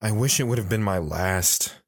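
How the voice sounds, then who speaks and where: A man's voice: lowish voice, normal speed, no echo, studio quality. A man playing an earnest, guilt-ridden soldier, narrating his hard life in a sober, plain-spoken way for an audio drama. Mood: regretful. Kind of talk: dramatic first-person narration.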